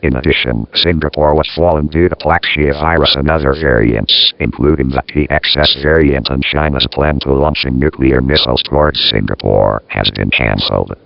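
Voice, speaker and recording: A man's voice: very deep voice, moderate pace, gravelly, dry recording. A man reads a scripted news bulletin as an announcer, in dull, lo-fi audio.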